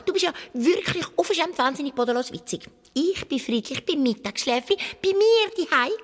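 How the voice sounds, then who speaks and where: A woman's voice: sits high, lively intonation, a little fast. A woman with a Swiss German accent playing a cheeky, gluttonous, indignant church mouse in a children's radio play, exclaiming theatrically. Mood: indignant, scoffing. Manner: exclamatory, theatrical, piling up colourful words. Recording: long reverb of a big hall.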